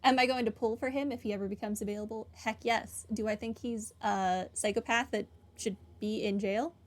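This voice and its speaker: A woman's voice: on the high side, fairly even intonation, a little fast. A woman giving casual commentary on a game playthrough, with wry, flippant asides. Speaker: gaming streamer.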